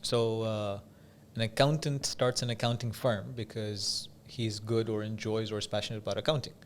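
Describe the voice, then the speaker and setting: A man from Dubai speaking as an interview guest, telling stories in a measured, conversational way with pauses and repeated phrases for emphasis. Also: fairly low pitch, level tone, slight background noise. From Dubai, UAE. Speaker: podcast guest, business coach.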